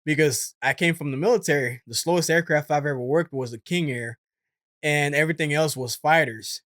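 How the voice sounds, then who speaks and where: A man's voice: on the high side, fairly quick, fairly bright tone, no room sound, studio quality. A man giving casual, conversational explanatory commentary as a host, in an informal manner. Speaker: host, former military air traffic controller.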